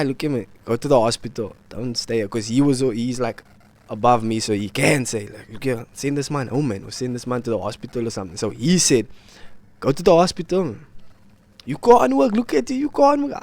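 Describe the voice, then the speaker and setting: A man's voice: medium pitch, a little mellow, dry recording. A sick young man, telling a rambling, slang-filled story about his illness to his vlog viewers. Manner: slangy, rambling, repeats himself. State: ill and worn out. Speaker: vlogger. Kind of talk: casual storytelling to camera.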